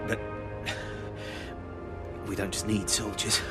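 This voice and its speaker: An actor playing a brave, hot-headed soldier in a science fiction audio drama, with a forceful, dramatic delivery. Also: male voice, lowish voice, little pitch movement, slow pace, over music. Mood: dying, faltering. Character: brave, hot-headed male soldier, defiant under capture.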